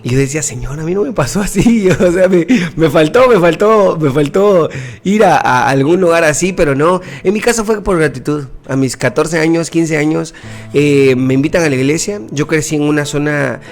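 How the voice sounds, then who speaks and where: A man's voice: a little high, moderate pace, soft background music. A young Guatemalan man with a Guatemalan Spanish accent, a musician guest earnestly telling his faith story in a casual interview, often repeating words.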